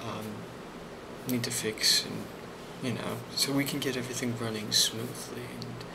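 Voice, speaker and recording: A man speaking softly in an ASMR role play as a boss, hesitant and reassuring, with frequent pauses. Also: medium pitch, steady tone, very noisy. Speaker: ASMR role-player playing a boss giving a review.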